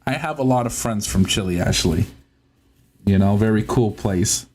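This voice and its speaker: A man's voice: lowish voice, lively intonation, normal speed, a little mellow. A young man from Puerto Rico, a native Spanish speaker, chatting casually on a live stream while he works on a console, often laughing and tripping over words. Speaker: livestream host.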